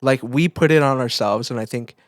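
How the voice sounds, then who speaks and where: A man in a casual podcast conversation. His voice sits at a middling pitch and his delivery is rambling and reflective. The recording is dry, with no room sound. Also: a little fast, dry recording. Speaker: podcast co-host.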